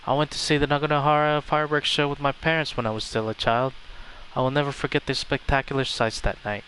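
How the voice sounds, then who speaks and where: A man's voice: medium pitch, a bit bright, a little hiss or hum, low-quality recording. A man livestreaming a video game playthrough, reacting casually and reading the dialogue aloud. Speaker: game streamer. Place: voice over video game audio.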